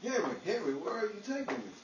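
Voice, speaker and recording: A man playing a hapless, stammering, excitable sidekick in 1940s radio comedy style. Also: sits high, fast, lo-fi sound. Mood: panicked protesting. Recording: distant echoey recording, loud crowd noise.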